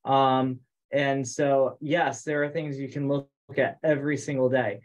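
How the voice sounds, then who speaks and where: A man's voice: medium pitch, level tone, a little slow. A man giving an explanatory answer in conversational speech on a group teleconference. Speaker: meeting participant answering a question. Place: remote teleconference.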